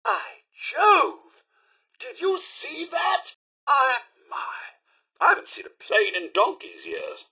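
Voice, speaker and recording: An actor playing a prim, loyal and fussy robot butler in a video game, with a British accent and pompous, exaggeratedly polite diction. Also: male voice, extremely high pitch, sing-song, takes it slowly, gravelly, sharp tone, exaggerated voice. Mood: astonished. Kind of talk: theatrical video game character acting.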